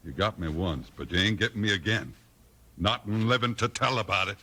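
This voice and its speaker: An actor playing a menacing ex-convict villain with an American Western accent in a 1950s radio drama. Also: male voice, on the low side, brisk, sharp tone. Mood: threatening. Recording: old 1950s radio recording.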